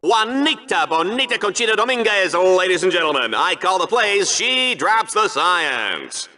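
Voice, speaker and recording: An actor playing a smarmy, bombastic sci-fi bloodsport announcer in a video game, hammy and excitable in his play-by-play. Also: high-pitched, normal speed, exaggerated voice. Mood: showy. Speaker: play-by-play commentator. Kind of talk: over-the-top sports commentary, video game acting.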